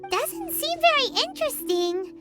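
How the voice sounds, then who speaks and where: A woman voicing a small, excitable fairy girl companion in a video game, bold but easily scared, exclaiming and talking about herself in third person. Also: very high-pitched, moderate pace, over loud music. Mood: bossy, cheeky.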